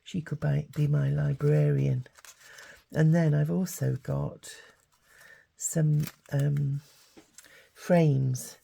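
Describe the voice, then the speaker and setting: An older woman, croaky with hay fever, chatting casually as she works through a craft tutorial. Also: low voice, drawn-out words. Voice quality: gravelly. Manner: chatty, relaxed, thinking aloud. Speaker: craft channel host.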